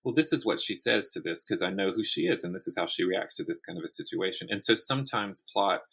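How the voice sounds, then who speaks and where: A man's voice: lowish voice, lively intonation, fast, gravelly, fairly bright tone, breathy edge. A man speaking as a guest author in a casual interview, often restarting and repeating words as he thinks aloud.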